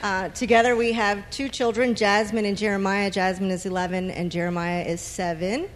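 A woman's voice: medium pitch, little pitch movement, moderate pace. A woman from South Jersey introducing herself on a church panel in a friendly, smiling manner. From South Jersey, USA. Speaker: panelist, pastor's wife. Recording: hall reverb.

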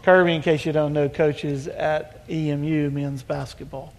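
A male pastor with a high voice runs a panel from the front of a church. He talks in a relaxed, folksy way. The sound rings in a long hall reverb. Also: a little slow. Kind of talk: sermon panel hosting. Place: church sanctuary.

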